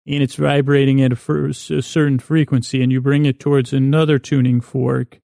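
A man with a creaky voice hosting a bedtime podcast in a meandering, halting monologue full of unfinished sentences. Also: medium pitch, dark tone, no echo, clean studio sound. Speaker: podcast host.

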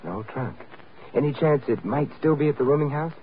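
Man acting a suave radio sleuth, animated delivery. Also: mid-range voice, fairly quick, phone-quality sound, natural voice. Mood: urgent, pressing. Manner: insistent, probing, dryly witty. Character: suave, commanding male amateur sleuth, urgent and wry. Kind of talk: old-time radio drama acting. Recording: thin, noisy vintage radio broadcast recording.

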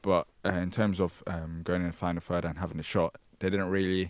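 A man talking through a football match on the radio, hesitant and full of fillers but measured. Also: deep voice, level tone, no echo. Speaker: studio guest pundit. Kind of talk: casual football analysis.

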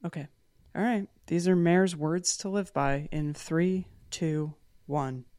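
A woman's voice: lowish voice, steady tone, slow pace, a little mellow, no room sound, studio quality. A woman co-hosting a podcast, chatting in a wry, self-deprecating way. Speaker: podcast co-host. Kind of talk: casual chat.

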